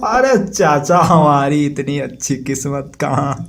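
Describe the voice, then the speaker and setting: A performer voicing a sensible young man of thirty in a comic cartoon, reasoning and gently reproving with mild exasperation. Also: mid-range voice, expressive, takes it slowly, creaky, soft background music.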